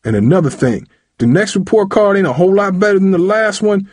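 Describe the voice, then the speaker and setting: An angry, bullying father played by a male narrator in an audiobook. His voice jumps up high and hard as he barks questions and threats in blunt street talk. The recording is dry and close. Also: lively intonation, brisk, husky, no echo. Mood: scolding, threatening. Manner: shouting, interrogating, colloquial and threatening. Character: angry, domineering father of a teenage boy. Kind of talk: audiobook character voice.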